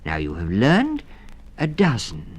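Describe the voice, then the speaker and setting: Man putting on a high, strict lady mouse schoolteacher. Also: huge pitch swings, slightly rough, exaggerated voice. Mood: weary, impatient. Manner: schoolmistressy, deliberate. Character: strict, impatient, weary lady town mouse teacher. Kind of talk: 1960s children's storybook record.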